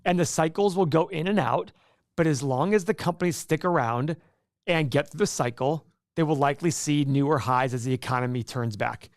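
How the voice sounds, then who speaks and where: A man's voice: on the high side, brisk, no echo, clean studio sound. A man born in Iraq co-hosting a stock market livestream, talking casually and rambling, repeating words and acting out his own thoughts. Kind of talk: casual investing talk on a livestream.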